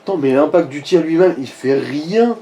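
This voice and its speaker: A man's voice: on the high side, animated, moderate pace, mellow. A man in casual gaming chat, talking in a crude, slangy and sardonic way. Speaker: video game commentator. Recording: noticeable background noise. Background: background noise.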